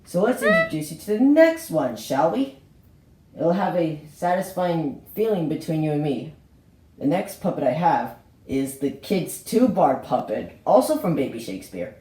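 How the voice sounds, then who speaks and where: Animated puppet-show host in an echoey room. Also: mid-range voice, moderate pace. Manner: theatrical, exclaiming, storyteller-like. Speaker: host showing a puppet collection. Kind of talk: narrated homemade puppet show. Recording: roomy echo with faint noise.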